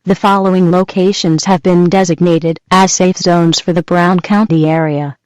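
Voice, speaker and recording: A woman's voice: fairly low pitch, fairly even intonation, moderate pace, natural voice, rough recording. A woman playing an impersonal, composed public-address announcer reading formal scripted notices in a detached manner.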